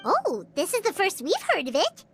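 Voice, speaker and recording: A woman voicing a tiny, cheerful childlike fairy companion in a video game, squeaky and bubbly. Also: huge pitch swings, moderate pace, faint music behind, full character voice. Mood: curious, flustered. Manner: bubbly, exclamatory, speaks of self by name. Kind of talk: English game voice acting.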